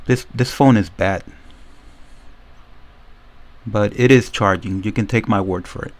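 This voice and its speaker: A man's voice: lowish voice, measured pace. A man giving a casual, unscripted hands-on demonstration as a product reviewer, narrating each step and often repeating himself.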